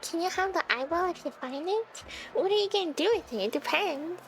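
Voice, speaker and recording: A woman's voice: very high voice, lively intonation, fairly quick, creaky, poor audio. A woman live streamer chatting casually with her viewers as she plays a game, in a playful, giggly, teasing way.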